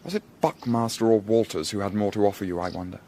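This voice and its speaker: Measured, dryly ironic young Englishman played by an actor. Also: lowish voice, mellow, a little hiss or hum, natural voice. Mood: dry, assured. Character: discreet, shrewd young male Victorian student posing as investigator. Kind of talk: period audio drama acting.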